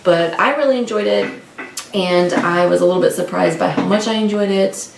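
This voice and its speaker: A woman's voice: lowish voice, moderate pace, gravelly. A woman co-hosting a book vlog, chatting casually and informally in a rambling way, often correcting herself. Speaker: co-host of a book discussion vlog. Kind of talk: casual unscripted book chat. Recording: roomy, distant mic with faint noise.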